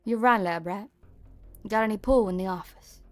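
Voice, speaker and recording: A woman playing a brusque, sharp-tongued frontier woman in an amateur game fan dub, blunt, slangy and scornful. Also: medium pitch, moderate pace, no echo, faint music behind, lightly acted. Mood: dismissive, cynical.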